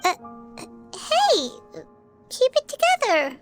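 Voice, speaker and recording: A woman voicing a small, boastful childlike companion creature in a video game, with a squeaky voice and a blunt manner. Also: huge pitch swings, takes it slowly, heavily acted. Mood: alarmed. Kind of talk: animated video game dialogue. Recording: loud music under the voice.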